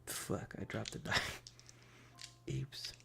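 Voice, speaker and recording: A soft-spoken, partly whispering ASMR streamer chatting casually and playfully with viewers into a close microphone, often repeating phrases. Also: slow, heavy background noise. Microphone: close adjustable microphone.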